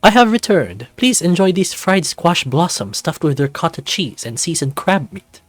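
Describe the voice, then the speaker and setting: A flamboyant snow leopard gentleman played by a man speaks high and lilting, with big swoops. He is a gracious, theatrical resort host from a visual novel, courtly with his guests, over a music bed. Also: big pitch swings, moderate pace, no room sound. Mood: showy welcoming. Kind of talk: visual novel character acting.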